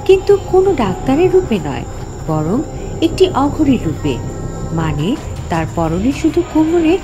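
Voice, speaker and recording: Female narrator for scripted horror story, higher register, animated and dramatic, first person, over prominent music. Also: unhurried. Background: background music.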